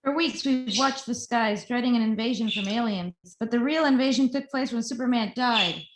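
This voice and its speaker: Casting: woman as earnest, defiant female reporter, higher voice, roomy and slightly noisy home recording. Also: moderate pace, close to an everyday voice. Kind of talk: amateur script table read.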